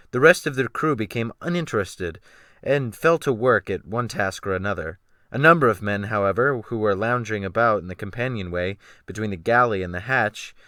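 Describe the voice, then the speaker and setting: A man narrating a classic novel as a dramatic audiobook, with deliberate, expressive phrasing. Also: fairly low pitch, dry recording, clean studio sound. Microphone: close USB desktop microphone.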